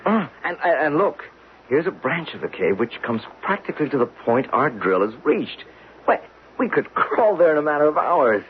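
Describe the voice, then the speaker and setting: An actor playing a keen, earnest geologist in a 1970s radio drama, with an animated manner. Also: male voice, a little high, normal speed. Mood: eager, urgent. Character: keen, earnest male geologist on a drilling crew. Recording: muffled, noisy old radio broadcast.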